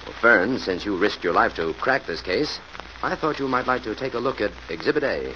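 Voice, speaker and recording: An actor playing an assured, genial detective hero in a 1940s radio drama, explaining things in a measured way. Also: male voice, on the low side, expressive, close to an everyday voice. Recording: muffled, noisy old radio recording.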